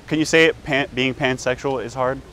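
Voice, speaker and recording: A man's voice: mid-range voice, steady tone, brisk, fairly bright tone, a little hiss or hum, close to an everyday voice. A man doing a street prank in an outdoor beachfront park, protesting in mock offense. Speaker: prankster in costume.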